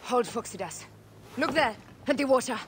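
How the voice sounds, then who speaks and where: A woman's voice: a little high, lively intonation, normal speed, noisy background, close to an everyday voice. A woman playing a stern, battle-minded young warrior in a video game cutscene, curt, commanding and dry. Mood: wary, dry.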